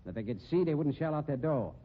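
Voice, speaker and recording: An actor playing a tough, exasperated carnival boss with an American accent, gruff, slangy and bullying, in 1950s radio drama style. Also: male voice, a little high, lively intonation, fast talker. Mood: grumbling. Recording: tinny, hissy old radio recording.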